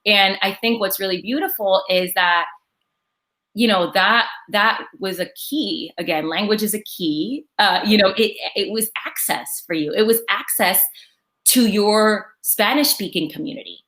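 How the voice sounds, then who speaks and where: A woman's voice: mid-range voice, a little slow, fairly bright tone. Affirming woman interview host. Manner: affirming, enthusiastic. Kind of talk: casual interview.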